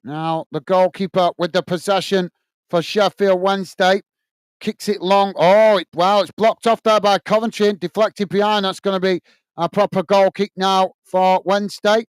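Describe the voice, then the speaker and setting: A British man with a high, tiring, slightly hoarse voice calls the match in a steady running commentary for his fan channel. His voice is smooth and clear, recorded close and dry with no room sound. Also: normal speed, dry recording. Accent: British English. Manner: steady running commentary, talks to viewers as guys. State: voice tired and going hoarse. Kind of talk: live watch-along play-by-play commentary.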